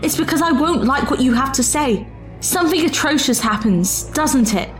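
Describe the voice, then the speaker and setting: Proud female adventurer, fairly high voice, formal, pointed, distrustful, audio drama, faint music. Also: lively intonation, normal speed. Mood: angry. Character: proud, sharp, distrustful female time traveller.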